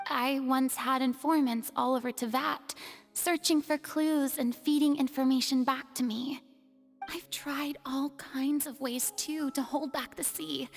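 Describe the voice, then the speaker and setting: High, slow, theatrical young ruler. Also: female voice, gravelly. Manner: grandiose, formal, dramatic. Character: theatrical young female ruler, proud front hiding weary vulnerability. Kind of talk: video game voice acting. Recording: long reverb, music behind. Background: music.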